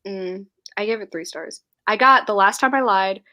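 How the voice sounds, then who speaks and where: A woman's voice: medium pitch, moderate pace, lo-fi sound. A young woman hosting a casual live-stream book chat, giggly and scattered, reading viewer comments aloud. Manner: giggly, scattered, laughs often.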